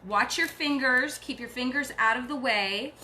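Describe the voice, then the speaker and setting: A woman's voice: sits high, normal speed, bright, forceful tone, slight background noise. A middle-aged woman hosting a craft tutorial, chatty and encouraging as she works, sounding tired. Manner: chatty and encouraging, talks while working. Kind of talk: casual craft demonstration.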